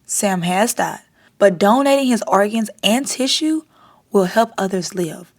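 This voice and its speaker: A woman hosting a storytime, reading a children's picture book aloud in an expressive, deliberate way. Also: medium pitch.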